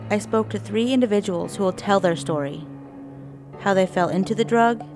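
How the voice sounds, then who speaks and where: A woman reporter reading a scripted, measured voice-over narration. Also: mid-range voice. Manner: measured, informative delivery. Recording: voice-over with music bed.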